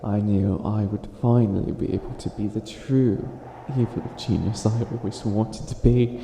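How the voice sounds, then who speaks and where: A man's voice: fairly low pitch, fairly even intonation, normal speed, vocal fry, mellow. A man playing a theatrical, flustered would-be evil witch in a comedic ASMR roleplay, stammering and stretching words for dramatic emphasis. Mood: proud, wistful. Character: flustered self-styled evil witch, hopelessly helpful, theatrical. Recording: echoey room with audible background noise.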